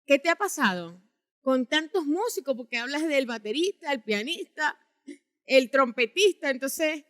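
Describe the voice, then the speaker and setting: A woman's voice: high-pitched, animated, a little slow, a bit bright. A woman hosting an interview program, presenting and putting questions to a guest. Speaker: program co-host. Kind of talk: scripted show introduction and interview.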